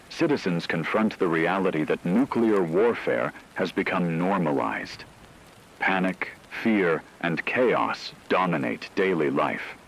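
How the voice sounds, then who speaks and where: A man playing a grave radio newsreader, reading a scripted breaking war bulletin in a solemn, measured, ominous way. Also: deep voice, slight background noise, exaggerated voice, low-quality recording.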